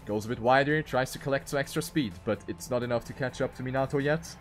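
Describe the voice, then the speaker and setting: A man's voice: mid-range voice, fast talker, faint music behind. A man commentating live on a racing game, calling the action play by play and repeating phrases for emphasis. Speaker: esports race commentator.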